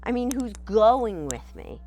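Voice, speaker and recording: A woman's voice: fairly high pitch, very animated, takes it slowly, dark tone, soft background music, voice pushed up, full character voice. A woman voicing a clever, bold goat who takes charge, in an emphatic, rallying storybook delivery.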